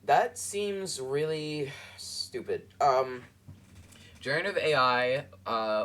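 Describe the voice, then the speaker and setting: A man hosting a podcast, reacting to a clip in a rambling, halting way with many fillers. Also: mid-range voice, a bit bright. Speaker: podcast host. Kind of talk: casual commentary over a clip.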